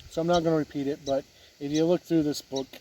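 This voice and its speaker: A man giving a casual, unscripted review as a video host, plain-spoken with halting phrasing, with wind on the recording. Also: a little high, steady tone, warm tone, poor audio. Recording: wind noise on the mic.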